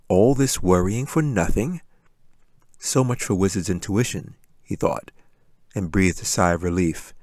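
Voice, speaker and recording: Low-voiced man narrating a story. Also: no echo, clean studio sound. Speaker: author reading own audiobook. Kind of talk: scripted fantasy story narration.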